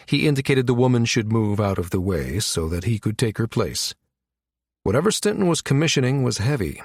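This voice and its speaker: A man's voice: mid-range voice, no echo, studio quality. A man reading a science fiction novel aloud as a scripted audiobook narrator.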